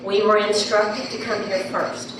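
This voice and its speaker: A woman's voice: mid-range voice, little pitch movement, a little slow. A woman with a big voice speaking at a town hall meeting, insistent and impassioned, repeating herself to press her point. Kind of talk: public comment at a meeting. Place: large echoey meeting room. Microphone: handheld microphone from a distance. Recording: echoey room, distant mic, background noise.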